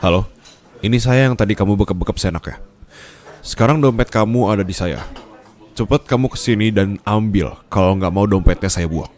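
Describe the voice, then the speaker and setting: Young male boss for ASMR roleplay , played by a man: slightly low voice, curt, haughty, sarcastic. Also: fairly quick, darkish tone, close to an everyday voice. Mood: irritated, sarcastic. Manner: haughty, scolding, insulting. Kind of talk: ASMR roleplay audio drama.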